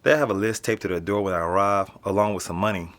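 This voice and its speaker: A man's voice: fairly low pitch, steady tone, quick pace, fairly bright tone, lightly acted. A young man with an American accent playing a casual, slangy, streetwise teenage boy being interviewed in a naturalistic audio drama. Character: nonchalant streetwise teenage boy living in an apartment building.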